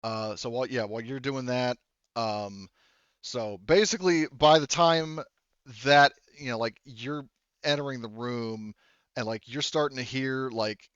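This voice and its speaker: A man with a clear, middle-pitched voice runs a tabletop roleplaying session. He improvises the narration in an informal, halting way and often corrects himself. The audio is dry and a little dull. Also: a bit bright, no room sound. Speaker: game master.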